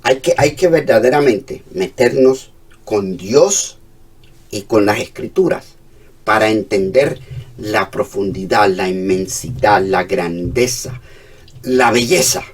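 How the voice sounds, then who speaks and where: Cuban-accented male pastor, fervent sermon delivery, husky low voice recorded from a distance. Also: takes it slowly. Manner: fervent preaching, shifts between hushed and emphatic.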